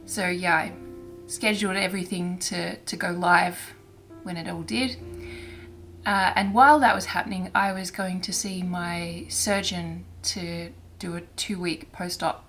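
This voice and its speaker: A woman hosting her own crafting vlog in casual chat, her voice hoarse and raspy from throat irritation, talking softly and haltingly with frequent restarts. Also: on the low side, fairly even intonation, soft background music. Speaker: cross-stitch vlogger.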